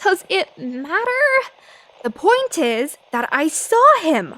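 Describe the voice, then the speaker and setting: A woman's voice: very high-pitched, very animated, fairly bright tone, dry recording, exaggerated voice, rough recording. An actress playing a feisty, easily flustered young woman reporter in a 1940s-style radio drama. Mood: defensive. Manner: brash, quick to get flustered. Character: feisty young female newspaper reporter, flustered but defiant. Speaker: reporter filling in as radio host.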